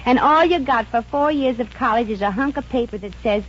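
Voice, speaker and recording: A woman's voice: fairly high pitch, fairly quick, lightly acted. An actress playing a plucky, wisecracking working-class young woman with a Brooklyn accent and slangy, ungrammatical talk in a radio comedy. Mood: miffed, sarcastic. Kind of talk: 1950s radio comedy acting. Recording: muffled, noisy old radio recording.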